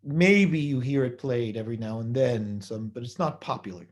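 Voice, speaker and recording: A man giving a measured holiday sermon, building his points through repeated phrases and rhetorical questions. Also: mid-range voice. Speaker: rabbi giving a holiday talk.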